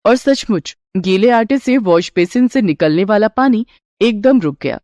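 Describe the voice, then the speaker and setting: Casting: female storyteller for moral bedtime tales, very lively intonation, mid pitch, close dry recording. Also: unhurried, no echo. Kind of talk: scripted narration of a Hindi moral bedtime story.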